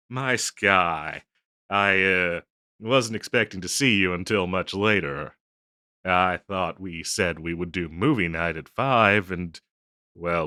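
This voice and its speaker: A man's voice: low voice, pushed and bright, dry recording, clean studio sound, full character voice. A man playing a flustered, self-conscious harpy boyfriend in an intimate audio roleplay, speaking hesitantly and apologetically with stammers. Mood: panicked, flustered. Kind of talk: scripted audio roleplay, intimate one-sided dialogue.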